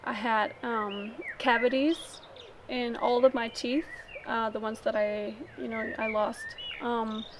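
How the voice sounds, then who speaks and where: Interviewee, woman from Denmark, slightly high voice; casual, halting storytelling; noisy outdoor take. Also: little pitch movement. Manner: halting, thinks aloud.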